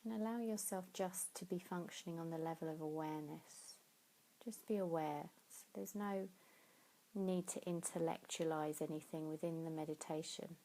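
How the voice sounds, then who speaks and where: A woman leading a guided sleep meditation in a soothing, unhurried way, pausing between phrases. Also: low voice, mellow, low-quality recording. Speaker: yoga nidra meditation teacher.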